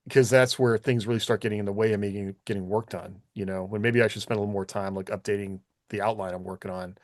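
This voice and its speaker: A man with a lowish voice talks shop casually as a podcast co-host. The sound is very dry, with no room around it. He explains things loosely and conversationally, thinking them through as he goes. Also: dry recording. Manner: loose, explanatory, peppered with "like" and "you know".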